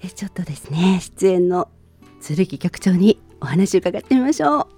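A woman's voice: lowish voice, expressive, moderate pace, husky, faint music behind. A woman radio host speaking politely in a friendly, cheerful hosting and interview style. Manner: polite, bright-spirited.

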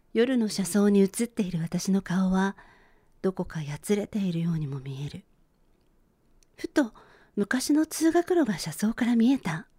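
A woman playing a weary office worker who narrates memories of her schooldays softly and wistfully in a radio drama. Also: mid-range voice. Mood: weary, downcast.

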